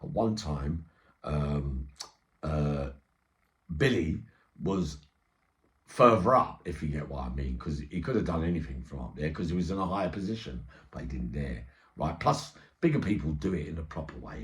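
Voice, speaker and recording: A man's voice: extremely low pitch, animated, normal speed. A middle-aged man telling an eyewitness story informally, in streetwise slang with frequent check-in tags. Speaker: storyteller and channel host.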